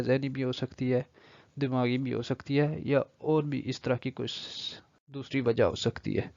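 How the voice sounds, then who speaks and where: A man's voice: medium pitch, a little mellow, dry recording. A man with a Pakistani accent gives an online law lecture, reading out text and explaining it as he switches between Urdu and English. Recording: dull lo-fi microphone sound.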